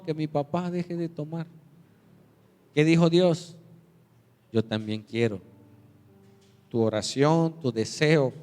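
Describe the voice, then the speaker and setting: A man preaching a sermon as pastor, telling stories and acting out the voices of the people in them. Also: a little mellow. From Mexico. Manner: storytelling, acts out quoted voices with big pitch jumps. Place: church sanctuary. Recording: reverberant church hall.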